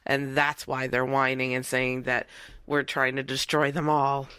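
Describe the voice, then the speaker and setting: A woman co-hosting a casual podcast, sounding nasal from blocked sinuses, sarcastic and quick to agree. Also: extremely low pitch, little pitch movement, a bit bright, clear, resonant tone, dry recording, studio quality. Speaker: podcast co-host. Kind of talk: casual chat.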